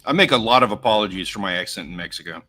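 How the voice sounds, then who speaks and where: A man's voice: fairly low pitch, animated, racing, sharp tone. An American man co-hosting a casual outdoors livestream, chatty and laid-back as he reads out viewer names and runs polls. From United States. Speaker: livestream co-host.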